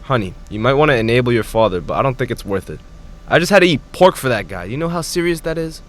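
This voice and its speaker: A man's voice: medium pitch, expressive, fast talker, lightly acted. A man playing a frank, defiant young fiancé in an audio drama, talking bluntly and confrontationally. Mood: frustrated.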